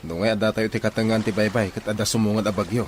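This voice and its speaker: A man's voice: fairly low pitch, flat delivery, fast talker, slight background noise, close to an everyday voice. A man with an Ilocano accent playing an uneasy, questioning seaman in a radio drama. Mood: curious, uneasy.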